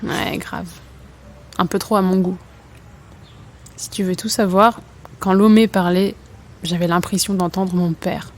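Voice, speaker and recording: A woman's voice: lowish voice, flat delivery, a little slow, long pauses, slight background noise, natural voice. A woman playing an embattled, doubtful young athlete in a French audio drama, earnest and colloquial. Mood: anxious, defensive. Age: young adult. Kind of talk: naturalistic French audio fiction acting.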